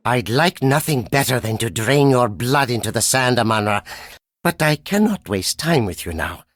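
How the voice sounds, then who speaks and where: A man's voice: mid-range voice, expressive, moderate pace, natural voice. A man playing a cruel, taunting villain in a video game cutscene, threatening and sneering. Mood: menacing. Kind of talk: scripted game cutscene dialogue.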